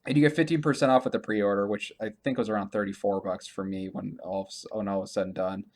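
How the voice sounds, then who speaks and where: Male gaming podcast host, slightly low-pitched, loose conversational delivery with frequent stammers and repeats. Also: steady tone, fast, darkish tone. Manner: rambling, stammering, repeats himself. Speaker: video game podcast host. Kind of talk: casual chat about gaming news.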